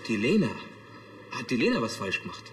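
An actor playing an earnest, anxious young apprentice in a TV drama, hesitant and worried. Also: male voice, medium pitch, sing-song, fairly quick, echoey room, natural voice. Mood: nervous, puzzled. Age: young adult. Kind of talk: naturalistic TV drama acting.

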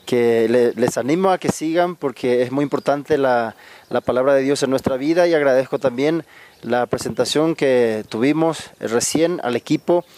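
A man's voice: mid-range voice, darkish tone. A middle-aged man with a Paraguayan accent, a priest giving a short greeting outdoors. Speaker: priest, youth ministry adviser. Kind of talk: short address to camera. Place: outdoors in a garden courtyard.

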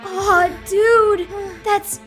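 A squeamish teenage turtle boy, performed by a woman for a comic dub. The voice is very high, excitable and flustered. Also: drawn-out words, soft background music, full character voice.

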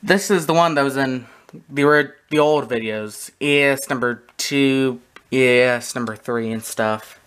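A person giving a casual, halting, matter-of-fact show-and-tell narration, recorded on a handheld camera in a room at home. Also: medium pitch, a bit bright, smooth. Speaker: video creator showing a radio collection. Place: small room at home, indoors. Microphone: handheld camera close by.

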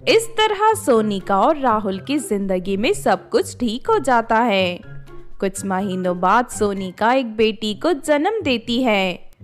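A woman's voice: fairly high pitch, big pitch swings, slow pace. A woman reading scripted fairy-tale narration as the storyteller of a children's cartoon. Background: background music.

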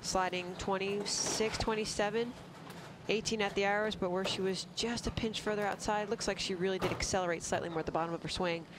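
A man with a fairly high voice explains bowling technique shot by shot, analytical and detailed. Clear background noise runs under him. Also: steady tone, a little fast. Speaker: color commentator. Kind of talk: analytical sports commentary.

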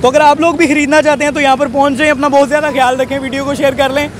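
A young man, presenting a street market to camera in an energetic, repetitive salesman's way. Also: very high voice, rapid-fire. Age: 20s. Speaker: street vlogger and host. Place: outdoor roadside market. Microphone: handheld recorder held at chest. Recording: noisy busy street with traffic and crowd. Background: crowd and passing vehicles.

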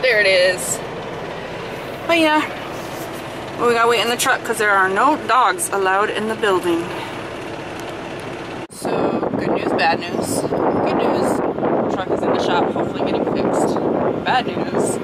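A woman in her thirties chatting casually to a handheld camera as a truck driver vlogger, often talking to her dogs. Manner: chatty, talks to her dogs in a high coaxing voice. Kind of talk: casual vlog chat. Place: outdoors by truck lots and in a truck cab. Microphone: handheld camera at arm's length. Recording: loud outdoor wind and traffic noise. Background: vehicle and outdoor noise.

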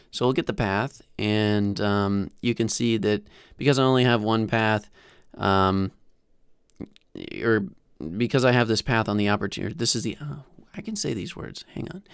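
Clear, low-voiced man giving a casual tutorial. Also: dry recording, studio quality. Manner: informal, self-deprecating, halting. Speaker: host of a software tutorial channel. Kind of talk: casual screen-share tutorial narration. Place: small indoor room at home. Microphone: desktop microphone close to the mouth.

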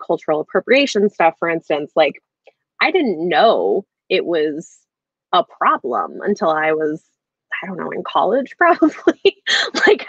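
A woman's voice: lowish voice, no echo. Woman chatting casually, dry muffled call audio. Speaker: book club livestream host. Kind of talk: casual book discussion chat. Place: indoor room at home, on a video call. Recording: slightly dull video-call audio.

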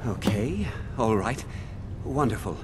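A man's voice: on the low side, animated, background noise. A man playing a nervous, indignant cook who blusters and bargains in a video game. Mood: nervous pleading. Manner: flustered, fast-talking bargaining. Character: nervous Breton male cook, indignant then pleading.

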